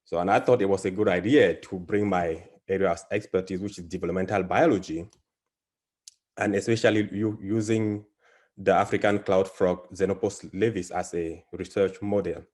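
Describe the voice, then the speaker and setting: Low-voiced guy from cameroon presenting biology slides on a call, lots of uhs and restarts. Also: fairly even intonation, darkish tone. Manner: halting, many fillers and restarts. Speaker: biology professor. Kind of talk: academic presentation.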